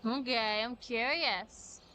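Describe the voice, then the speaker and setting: A woman's voice: on the high side, takes it slowly, background noise. A woman streaming a game with informal, reactive commentary, thinking aloud as she plays. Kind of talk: casual live gameplay commentary. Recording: clear game music behind. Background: game music.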